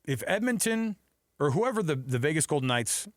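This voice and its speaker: A man's voice: on the high side, big pitch swings, normal speed, no room sound, studio quality. A man with an American accent co-hosting a sports radio show, analysing in an unscripted, emphatic, conversational way and repeating phrases for emphasis.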